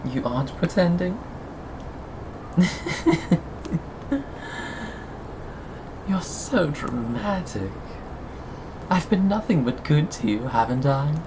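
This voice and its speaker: A performer in an intimate ASMR roleplay voicing a possessive, sweetly menacing captor, coaxing and teasing with pauses and sighs. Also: medium pitch, very animated, creaky, background noise, full character voice.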